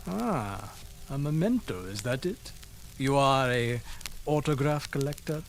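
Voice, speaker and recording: A man playing a courteous foreign translator to an emir, with polite, measured, formal diction in a radio drama. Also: mid-range voice, lively intonation, faint music behind.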